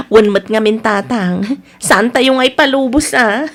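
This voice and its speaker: Woman acting a high-voiced Ilocano village vendor. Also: slow pace. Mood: patient. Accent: Ilocano Filipino. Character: friendly village market vendor woman, patient cousin and daughter. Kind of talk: Ilocano radio drama acting.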